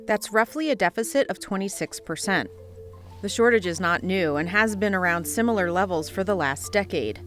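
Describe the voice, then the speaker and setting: A woman's voice: medium pitch, lively intonation, normal speed, a bit bright, faint music behind. A woman reading scripted narration for a news documentary report.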